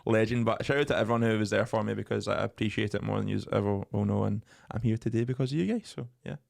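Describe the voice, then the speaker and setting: A man's voice: on the low side, quick pace, vocal fry. A young man co-hosting a podcast, telling stories in casual chat with plenty of swearing and jokes. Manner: swearing, joking, animated storytelling. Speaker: podcast co-host.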